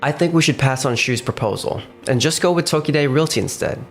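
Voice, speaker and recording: A man's voice: medium pitch, expressive, natural voice. A man voicing a level-headed, cautious young company boss who also narrates a manga dub. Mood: focused. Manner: measured, composed. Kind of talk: manga dub dialogue and first-person narration. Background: faint background music.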